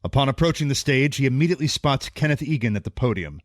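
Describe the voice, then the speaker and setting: A man's voice: medium pitch, animated, quick pace, no room sound, clean studio sound. A man reading scripted, dramatic narration for an audio drama. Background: prominent music.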